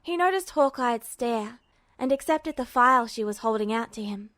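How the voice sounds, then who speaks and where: Woman reading fan fiction, high, dry. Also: lively intonation, moderate pace, fairly bright tone, dry recording. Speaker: podfic reader. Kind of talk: scripted fiction narration.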